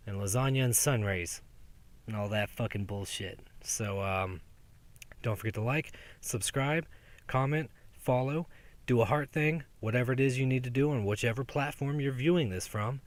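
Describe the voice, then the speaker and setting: A man's voice: mid-range voice, normal speed, no room sound. A young man rambling sarcastically and profanely in a casual outdoor monologue as a podcast host. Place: outdoors in daylight.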